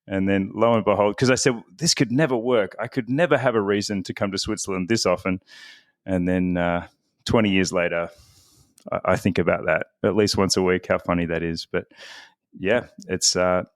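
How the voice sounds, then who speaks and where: Chatty man, low and dry. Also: fairly even intonation, moderate pace, no room sound. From Australia. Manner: chatty, self-deprecating, laughs often. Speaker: guest. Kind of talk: casual podcast interview.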